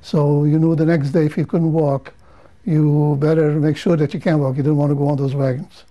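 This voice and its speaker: An older man from Romania, whose first languages are Hungarian, Romanian, Yiddish and Hebrew, speaks slowly with pauses and restarts. His voice sits a little high but has a dark, mellow tone. He talks in a measured way, as in an interview. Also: little pitch movement, dull audio. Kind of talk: testimony interview.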